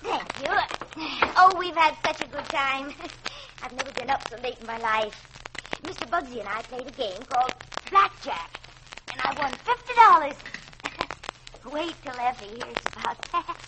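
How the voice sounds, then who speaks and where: A sweet elderly mother in an old radio detective show. Her high voice lilts up and down as she chatters with genteel, dithery innocence. The vintage recording is clearly noisy. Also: expressive, normal speed. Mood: cheerful, innocent. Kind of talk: old-time radio detective drama acting.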